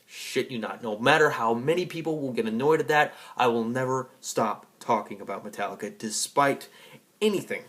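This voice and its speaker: A man's voice: mid-range voice, moderate pace, fairly bright tone. A man giving a rambling personal monologue to an audience in an emphatic, defiant, profanity-laced way. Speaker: vlogger and music fan.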